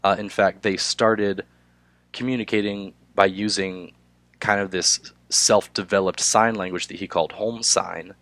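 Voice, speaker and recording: Hoarse young man with a cold. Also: low voice, fairly even intonation, fairly bright tone, dry recording. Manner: halting, many filler "uh"s. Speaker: student presenter. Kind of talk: class presentation.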